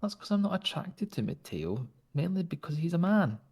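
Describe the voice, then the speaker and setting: A man's voice: fairly high pitch, very animated, moderate pace, darkish tone, husky. A man with a Scottish accent talking in character during a roleplay, flirtatious and teasing. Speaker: roleplay player.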